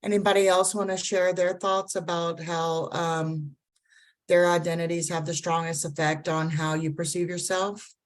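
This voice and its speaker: A woman co-facilitating a workshop on a video call, soft-spoken and encouraging. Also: fairly low pitch, flat delivery, moderate pace. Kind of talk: casual chat on a video call.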